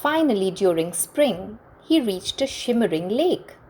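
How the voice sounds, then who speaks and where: A woman reading a children's story aloud in an expressive, deliberate and instructive way, as host and narrator. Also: mid-range voice.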